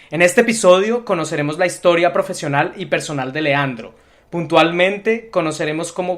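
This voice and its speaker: A man with a fairly high-pitched voice hosts a podcast. He speaks in an articulate, earnest way, presenting and interviewing. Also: fairly bright tone. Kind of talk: scripted introduction, then conversational interviewing.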